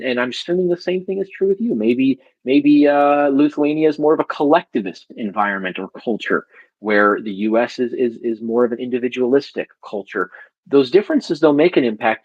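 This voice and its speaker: A man's voice: mid-range voice, husky, muffled recording. A man co-hosting a conversation show, thoughtful, who often repeats words as he starts a thought. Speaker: podcast co-host. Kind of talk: conversational interview.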